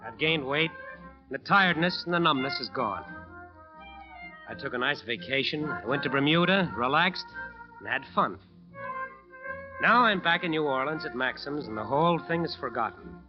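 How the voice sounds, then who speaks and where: A man's voice: mid-range voice, lively intonation, normal speed, long pauses, natural voice. An American actor playing a haunted jazz bandleader, telling his story in clipped, hard-boiled radio-drama sentences. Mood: relieved, intent. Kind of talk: 1940s-50s radio acting, first-person narration. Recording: dull old radio recording, music behind.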